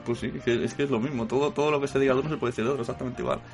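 A man's voice: medium pitch, steady tone, racing, music in the background. A man hosting a casual podcast roundtable, joking and laughing often. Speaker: podcast host.

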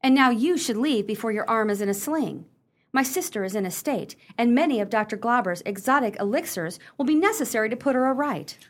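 A woman's voice: a little high, lively intonation, brisk, natural voice. A woman playing a watchful, wry sister in a comic period radio play, declaiming theatrically. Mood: dry, wry.

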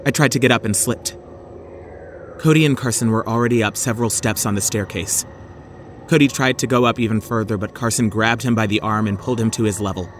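A man's voice: fairly low pitch, a little fast, a little hiss or hum. A man giving a dramatic first-person reading of a scripted horror story.

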